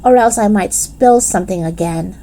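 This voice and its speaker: A woman's voice: mid-range voice, normal speed, mellow, slight background noise, natural voice, poor audio. A woman voicing an eager, cheeky little storybook girl, with childlike, emphatic and informal phrasing. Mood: hesitant, frank.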